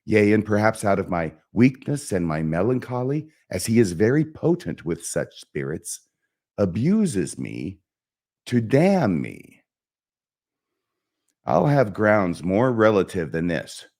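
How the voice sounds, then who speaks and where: Anguished stage prince, played by a man: brooding Shakespearean soliloquy, slow and declamatory, hugely varied pitch. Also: very animated, darkish tone, heavily acted. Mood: scheming, brooding. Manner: declamatory, brooding, emphatic with dramatic pauses. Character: anguished young prince, self-loathing, melancholy, bent on revenge.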